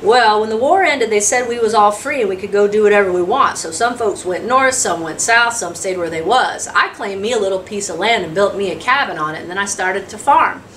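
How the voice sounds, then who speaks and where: A woman's voice: medium pitch, brisk, few pauses, a bit bright, natural voice. A woman acts out a humble, hungry drifter in a storybook reading. He tells his hard life plainly, in a folksy rural dialect. The sound is a little distant, with faint noise behind. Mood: matter-of-fact, recounting. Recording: distant mic, noisy room sound.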